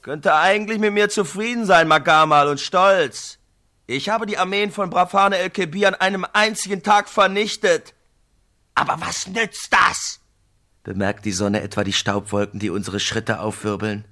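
Brief: man playing a proud, brooding warrior chief with a bright, forceful voice. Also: high voice, normal speed, pitched above the performer's own voice. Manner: brooding, questioning.

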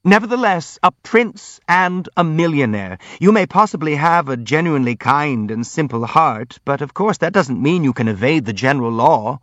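A male reader acts an argumentative, affronted man from a novel. The voice is squeaky and pushed high, indignant in temper, on a slightly muffled recording. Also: animated, fairly quick, few pauses, a bit bright, dry recording. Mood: indignant, lecturing. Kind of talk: audiobook character acting.

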